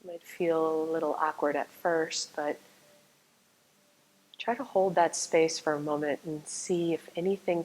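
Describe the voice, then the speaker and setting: A woman guides a meditation in a low, calm voice. Her delivery is slow and soothing, with little rise or fall in pitch. She leaves long pauses between phrases, as a yoga nidra teacher does. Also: rough recording.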